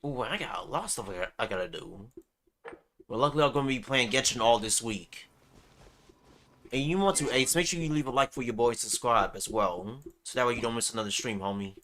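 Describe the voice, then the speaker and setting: Bright-voiced man chatting on a gaming stream. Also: medium pitch, fairly quick, a little hiss or hum. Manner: laid-back, slangy. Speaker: livestream host. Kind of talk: casual gaming livestream chat.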